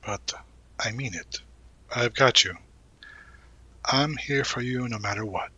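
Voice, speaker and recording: A man's voice: medium pitch, takes it slowly, bright, forceful tone, husky, dry recording, dull audio, exaggerated voice. A man playing a caring, protective college student sibling in an intimate audio roleplay, casual and earnest. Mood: tender, apologetic.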